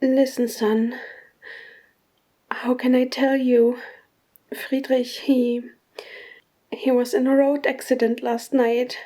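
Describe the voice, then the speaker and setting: A woman playing a grieving mother who breaks bad news in a halting, sombre way in a scripted drama. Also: sits high, little pitch movement, mellow. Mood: grieving, gentle. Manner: slow, halting, sombre delivery.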